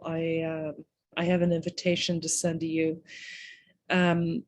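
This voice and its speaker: A woman giving public comment at a town meeting, earnest and halting, with many pauses and restarts. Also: lowish voice, a little mellow, no room sound.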